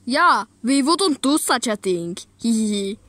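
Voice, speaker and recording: A woman's voice: a little high, sing-song, a little slow, a bit bright, no echo. A woman voicing a cheeky, evasive boy toy who covers for his friend. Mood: sheepish.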